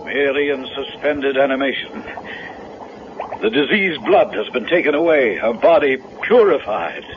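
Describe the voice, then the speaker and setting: An actor playing a fanatical mad doctor in a 1970s radio drama, formal and grandiose with a soothing menace. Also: male voice, medium pitch, expressive, moderate pace, close to an everyday voice. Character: cold, fanatical male mad doctor, soothing then megalomaniac. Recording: muffled vintage radio recording, faint noise.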